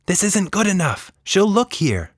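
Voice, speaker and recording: A man plays a young storybook girl with a raised, lively voice. She is earnest and a bit bossy, insistent about how things should be done. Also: sits high, measured pace, husky, no room sound, studio quality, pitched above the performer's own voice, heavily acted. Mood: urgent, hushed. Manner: insistent, retorting.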